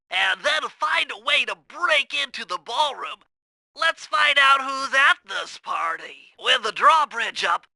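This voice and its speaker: Nerdy little cartoon turtle brainiac for a game, super high bright voice, precise and earnest, explains everything. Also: male voice, creaky, dry recording, exaggerated voice, poor audio. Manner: precise, wordy, technical instructing. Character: nerdy young male cartoon turtle, brainy, earnest gadget-minded strategist. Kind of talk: video game character voice acting.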